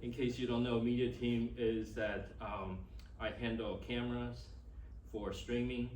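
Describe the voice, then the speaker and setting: A man with a Taiwanese accent speaks to a class in a loose, informal way, at a middling pitch. He is picked up from a distance in a reverberant room, with a little noise around him. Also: flat delivery, measured pace, darkish tone. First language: Chinese. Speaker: class instructor. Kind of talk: informal teaching and personal introduction. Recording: echoey room, distant mic, faint noise.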